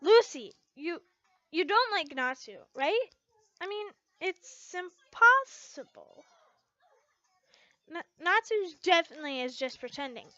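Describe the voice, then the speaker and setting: A woman's voice: very high-pitched, sing-song, slow, bright, forceful tone, dry recording, muffled recording, exaggerated voice. A woman voicing a flustered, stubborn young woman in denial about love, stammering and emphatic in an amateur story reading. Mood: anxious denial.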